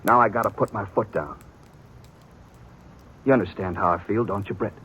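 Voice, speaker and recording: An actor playing a stern, protective brother on the frontier, speaking in earnest, insistent rustic speech in a 1950s radio western. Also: male voice, on the low side, normal speed, a bit bright, breathy edge, rough recording. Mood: worried, grim.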